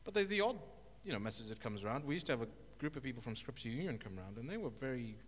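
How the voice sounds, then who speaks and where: A middle-aged man from Zimbabwe, a guest telling his life story in an interview, with thoughtful, halting speech and many restarts. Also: medium pitch, big pitch swings. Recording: echoey hall with faint noise.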